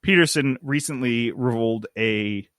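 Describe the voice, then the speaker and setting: A man's voice: medium pitch, unhurried, a bit bright, dry recording, clean studio sound. A man hosting a podcast in a casual, conversational way. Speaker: podcast host. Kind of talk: casual chat.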